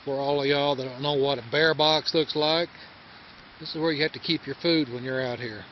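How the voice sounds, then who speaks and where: A man's voice: mid-range voice, steady tone, a little fast. A man casually narrating a home video of his forest campsite, explaining things step by step for viewers. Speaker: camper filming a vlog. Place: outdoor forest campground by a river. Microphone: handheld camera mic. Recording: dull home-video audio with faint outdoor hiss.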